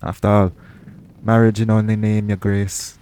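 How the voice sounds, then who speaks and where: Man acting a low-voiced roguish pirate captain. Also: level tone, normal speed, heavy background noise, natural voice. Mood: teasing, concerned. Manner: colloquial seafarer's dialect, speaking tenderly to one listener. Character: roguish pirate captain, protective and gently reassuring. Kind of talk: intimate audio roleplay acting.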